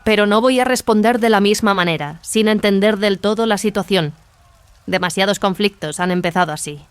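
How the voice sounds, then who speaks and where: A woman playing a stern, commanding warrior sister in an audio drama, speaking with firm, measured authority. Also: mid-range voice, clean tone, dry recording, natural voice. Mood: resolute. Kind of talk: dramatized audio fiction acting. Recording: music behind the voice.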